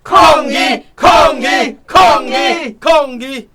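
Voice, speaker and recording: An actor playing an indignant village father in a radio play, loud and exclaiming. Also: extremely slow, a faint crowd, heavily acted. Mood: rousing. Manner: loud, exclaiming, high-strung.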